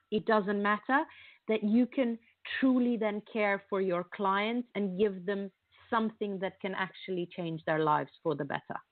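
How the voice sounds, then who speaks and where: A woman's voice: on the high side, no room sound. Slovenian-accented woman on a tinny phone line. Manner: earnest, explaining in long run-on sentences with many fillers. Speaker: guest, marketing coach. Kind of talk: conversational interview.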